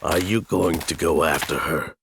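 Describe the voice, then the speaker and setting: A man playing a calm, patient advisor in an audio drama, measured and questioning. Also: extremely low pitch, gravelly, background noise. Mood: probing. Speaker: voice actor. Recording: music under the voice.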